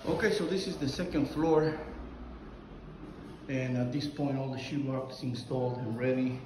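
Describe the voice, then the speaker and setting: A man talking casually through a drywall job-site walkthrough, plain and halting, filming on his phone inside a house under construction. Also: medium pitch, fairly even intonation, over loud music, poor audio. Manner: plain, halting with fillers and restarts. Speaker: drywall contractor.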